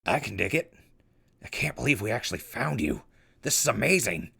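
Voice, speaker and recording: An actor in an audio drama playing a gruff, exasperated and weary wasteland survivor with a raspy voice. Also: male voice, mid-range voice, big pitch swings, brisk, sharp tone, exaggerated voice. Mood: weary, earnest.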